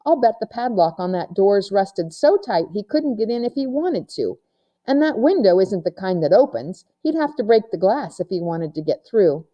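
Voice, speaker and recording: A woman's voice: mid-range voice, sing-song, normal speed, dark tone, highs cut off, natural voice. Woman reading as a bold, dramatic young girl. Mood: confident, dismissive. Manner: theatrical when chanting. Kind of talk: children's storybook read-aloud.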